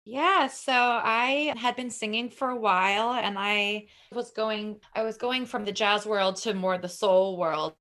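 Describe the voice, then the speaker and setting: A woman's voice: mid-range voice, steady tone, measured pace, few pauses, bright, forceful tone. A woman in her thirties, a singer and bandleader, chatting casually and eagerly as a podcast guest, with many fillers. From Puerto Rico. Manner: enthusiastic, many "like" fillers, occasional restarts. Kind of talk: casual interview chat.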